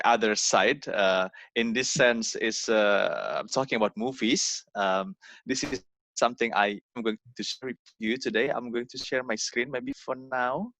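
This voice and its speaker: Halting young man. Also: fairly low pitch, steady tone, a bit bright, no echo. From Indonesia. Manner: halting, many fillers and repeated words. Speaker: guest speaker. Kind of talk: informal online presentation. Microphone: video call.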